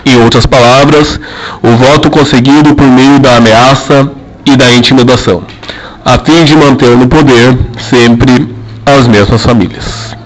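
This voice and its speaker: A man narrating a radio drama in a formal, measured storytelling manner. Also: mid-range voice, fairly even intonation, poor audio.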